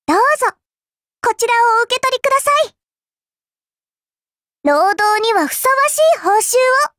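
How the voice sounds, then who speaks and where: A tiny fairy girl with an extremely high voice, recorded close and dry. She speaks with courteous, cutesy sweetness and a playfully superior, mischievous streak, in the polished style of an anime mobile game. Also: lively intonation, moderate pace, fairly bright tone, no room sound. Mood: sweet, playful. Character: petite polite fairy-like alter ego girl, sweetly mischievous shopkeeper. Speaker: game character voice actor. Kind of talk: Japanese mobile game voice lines, anime acting.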